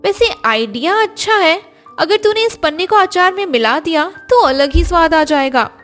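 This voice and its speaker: A very high, expressive female voice put on by a narrator plays an eager young Indian daughter-in-law. She chats inventively in family cartoon style over a music bed. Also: moderate pace. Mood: playful. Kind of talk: Hindi family cartoon dialogue.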